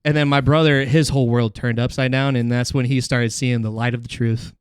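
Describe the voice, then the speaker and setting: A young man telling casual comedic stories in a rambling, animated, slangy way with plenty of swearing. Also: medium pitch, fairly quick, clear, resonant tone, dry recording, clean studio sound. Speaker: podcast guest, comedian.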